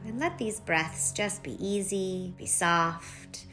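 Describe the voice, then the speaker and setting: A woman leading a guided meditation, giving soft instructions in short phrases with long pauses between them. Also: mid-range voice. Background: background music.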